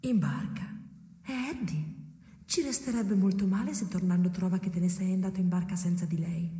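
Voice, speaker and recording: Heavy echo surrounds a detached, ghostly wife acted by a woman in a radio play. Her voice is dark and soft, measured and hushed, often near a whisper. She sounds resigned and quietly reproachful. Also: fairly low pitch, close to an everyday voice. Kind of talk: experimental radio drama acting. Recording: echoey with long reverb.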